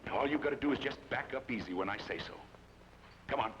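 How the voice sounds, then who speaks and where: A man's voice: mid-range voice, fast talker, lightly acted. An actor playing a wry western gunman who stays cool, with a dry, folksy drawl, in an old radio drama. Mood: commanding. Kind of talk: 1940s radio acting. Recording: muffled old radio broadcast with hiss.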